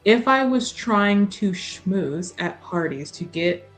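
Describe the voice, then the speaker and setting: A woman's voice: medium pitch, lively intonation, slow pace, soft background music. A woman chatting casually as a player in a tabletop roleplaying game.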